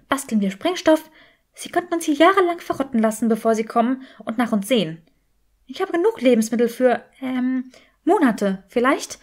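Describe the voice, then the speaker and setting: A woman's voice: high voice, a little mellow, pitched above the performer's own voice. A woman voicing an anxious, clever young witch in an audiobook, urgent and rambling.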